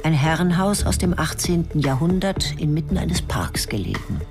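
Woman narrator, lowish voice, short clipped radio drama lines, music behind. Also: big pitch swings, moderate pace. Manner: terse, clipped, matter-of-fact. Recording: studio radio play with music.

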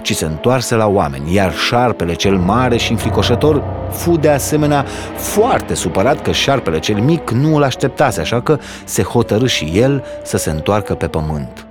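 A man reading a folk tale aloud as a storyteller, in an expressive, dramatic storybook style. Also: on the low side, music in the background.

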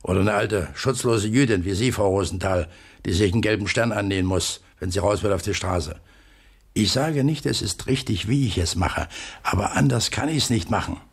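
An actor playing a stern, stubborn middle-aged father in a radio drama, terse and authoritative, with a husky, gravelly voice. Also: on the low side, normal speed. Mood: firm, defiant.

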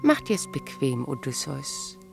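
An actress playing a mature, serene wife who appears like a dream in a radio play, speaking in measured, poetic phrases. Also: female voice, rumbling low, big pitch swings, vocal fry, over music, heavily acted.

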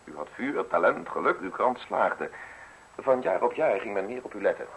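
Low-voiced, formal state official. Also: male voice, sing-song, creaky, husky, exaggerated voice. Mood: measured, accusing. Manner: formal, measured, courteous, deliberate phrasing. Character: polite, insinuating male state official making an arrest. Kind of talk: radio play acting. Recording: hissy, noisy old radio recording.

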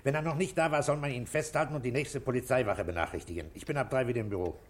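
An actor playing a gruff, unhurried middle-aged police commissioner in a German radio drama, speaking in terse questions. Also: male voice, mid-range voice, natural voice. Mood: brisk, commanding.

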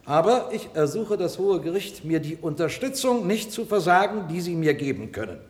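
An eloquent courtroom lawyer, highly animated. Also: male voice, moderate pace. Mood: insistent, eloquent. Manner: formal, rhetorical, emphatic delivery. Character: male defence lawyer, eloquent, persistent, courtly, pleading for robots. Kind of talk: 1960s-1990s German radio drama acting. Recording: reverberant hall, old radio play.

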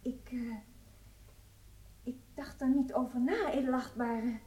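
An actress in a radio drama playing a nervous, evasive wife on trial, speaking hesitantly and deferentially. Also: female voice, high voice, fairly even intonation, slow pace, mellow, slight background noise. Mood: uneasy, defensive.

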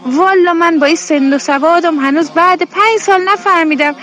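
An actress playing an exasperated but affectionate homemaker in a radio drama, speaking colloquial Iranian Persian in a scolding yet fond way. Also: female voice, extremely high pitch, a bit bright. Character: exasperated, affectionate homemaker woman talking to children. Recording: music bed behind the voice.

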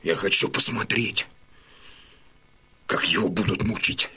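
An actor playing a stern, terse old male Cossack warrior with a low, gravelly voice in an audio drama. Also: a little slow, sharp tone, heavily acted. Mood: grim, resolute. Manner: terse, commanding.

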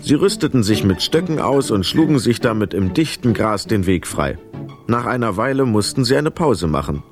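A man narrating a children's radio play in an expressive, dramatic storytelling style. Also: on the low side, moderate pace, over music.

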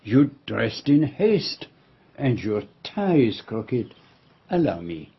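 An actor playing a fussy, precise older detective with a Belgian French accent, speaking formally and deliberately in a radio drama. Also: male voice, medium pitch, very animated, husky, no echo, exaggerated voice. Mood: measured, explanatory. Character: fussy, precise, courteous foreign male detective. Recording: muffled lo-fi broadcast radio recording.

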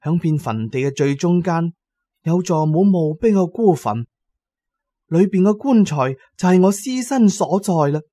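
Casting: male narrator as female ghost in a paper doll, audio drama horror; pitched up, reproachful, persuasive, proud, dry close sound. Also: lively intonation, unhurried, dark tone, dry recording. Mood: luring.

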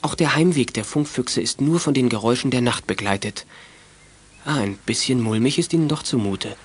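A man's voice: mid-range voice, a little fast, breathy edge. A man narrating a youth radio drama as a scripted, dramatic story.

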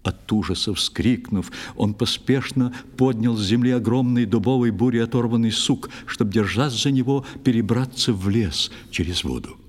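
A man narrating an audio play in scripted verse, with a theatrical, expressive literary delivery. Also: medium pitch, moderate pace, few pauses.

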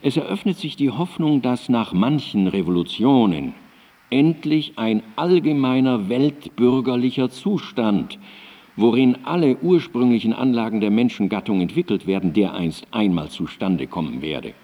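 An actor playing an elderly Enlightenment philosopher in a radio drama, declaiming his writings in a solemn, deliberate and emphatic manner. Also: medium pitch, lively intonation, warm tone, close to an everyday voice. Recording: long hall-like reverb on cassette.

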